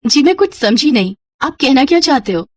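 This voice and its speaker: Female performer as anxious, loving young village wife and mother; cartoon tale, animated pitch. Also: brisk, a little hiss or hum. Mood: timid, unsure. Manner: affectionate, expressive. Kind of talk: cartoon story voice acting.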